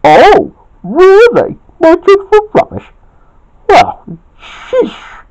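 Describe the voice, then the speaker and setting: A man's voice: sits high, wildly swooping pitch, takes it slowly, darkish tone, highs cut off, voice pushed up, exaggerated voice. A man voicing an indignant, bossy male friend in an amateur storybook telling. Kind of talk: amateur storytelling with acted dialogue.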